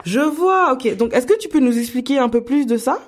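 A woman hosting a radio segment, asking guiding questions in a lively, friendly way. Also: high voice, brisk. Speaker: radio host.

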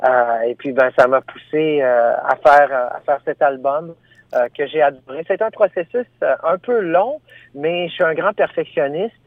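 A man's voice: fairly high pitch, normal speed, no echo. Franco-Ontarian man on a tinny phone line. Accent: Franco-Ontarian French. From Ottawa, Ontario. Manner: hesitant, many fillers and restarts. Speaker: singer guest. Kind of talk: phone interview. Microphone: telephone.

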